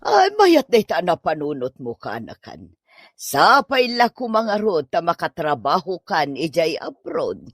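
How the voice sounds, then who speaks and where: An actress as a nosy older auntie in an Ilocano comedy drama. She talks slowly and slyly friendly, with wide swings of pitch and an Ilocano accent. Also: sing-song, dry recording, clean studio sound. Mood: prying, friendly.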